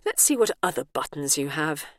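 A woman's voice: deep voice, very animated, normal speed, fairly bright tone, dry recording, studio quality. A woman voicing an awkward, apologetic but plucky woman adventurer in an audiobook, hesitant, wry and conversational. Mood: curious, flustered. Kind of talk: audiobook character voice.

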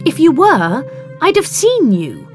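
Woman acting an earnest, high-pitched young heroine. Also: very animated, a little slow, over music. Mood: indignant. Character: earnest, indignant young woman adventurer, polite but stubborn.

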